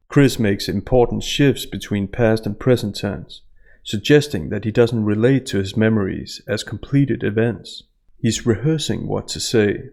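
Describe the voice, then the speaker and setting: A man giving scripted analytical narration in a measured, formal manner over instrumental music. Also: lowish voice, gravelly, a little mellow. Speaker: host analyst of statements.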